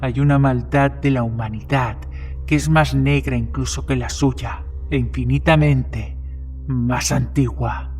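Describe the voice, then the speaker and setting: A man plays a young, prophet-like stranger in an audio horror story. He speaks very slowly at a medium pitch, delivering foreboding pronouncements over faint music. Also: fairly even intonation, darkish tone, close to an everyday voice. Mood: ominous, taunting. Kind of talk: dramatized short-story reading. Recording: music behind the voice.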